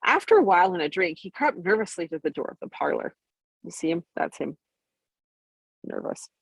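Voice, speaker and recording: Bedtime storyteller, female: lowish husky voice, animated intonation, expressive, recorded dry. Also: a little fast, dry recording, rough recording. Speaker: storybook reader.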